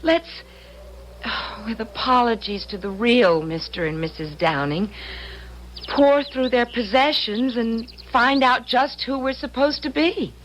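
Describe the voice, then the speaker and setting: An actress playing an anxious, conscience-stricken young wife in an old-time radio drama, speaking with earnest, emotional emphasis. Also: female voice, a little high, slow pace, fairly bright tone, a little hiss or hum, rough recording.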